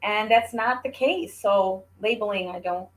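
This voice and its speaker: A woman's voice: medium pitch, unhurried, poor audio. A woman in her forties chatting casually, talking things through in a reflective, explanatory way. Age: 40s. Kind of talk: casual chat.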